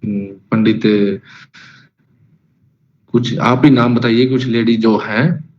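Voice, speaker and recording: A man's voice: medium pitch, steady tone, takes it slowly. A man teaching an online singing class in a casual, rambling way, talking straight to his students and singing note names. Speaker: music teacher. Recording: lo-fi online class audio with faint noise.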